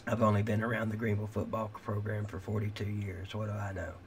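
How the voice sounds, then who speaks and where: A man's voice: on the low side, little pitch movement, fast, background noise. A middle-aged man hosting a late-night livestream, talking in a rambling, candid way with swearing, and his voice is giving out. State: losing his voice. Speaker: livestream host.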